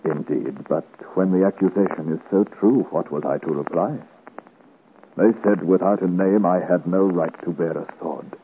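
Actor as deep-voiced, earnest young swordsman. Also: normal speed, lightly acted. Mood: troubled, earnest. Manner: earnest, courtly, formal period diction. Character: earnest, gallant young swordsman of great size, seeking his father's name. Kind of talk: 1950s radio serial acting. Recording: muffled, hissy old radio serial recording.

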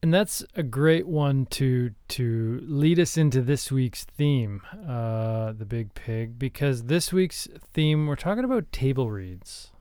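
A man's voice: medium pitch, expressive, slow pace, few pauses, mellow, clear, resonant tone, no echo, studio quality. A man co-hosting a podcast in a casual, conversational style. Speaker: podcast co-host. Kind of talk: casual podcast talk about screenwriting.

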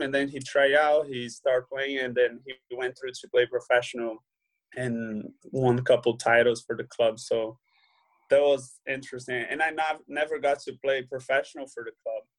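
A young Brazilian man with a Brazilian Portuguese accent, a footballer guesting on an interview, relaxed and full of "you know" fillers. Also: mid-range voice, rough recording. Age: young adult. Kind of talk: casual interview chat.

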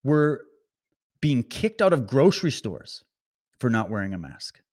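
A man hosting a political commentary stream, talking straight to his viewers with animated sarcasm. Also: mid-range voice, unhurried. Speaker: commentary host.

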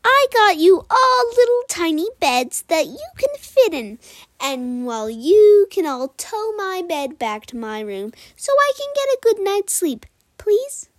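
A woman's voice: extremely high pitch, sing-song, unhurried, no room sound, clean studio sound, pitched above the performer's own voice, exaggerated voice. A woman voicing a kind, polite female unicorn who talks in light exclamations. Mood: coaxing.

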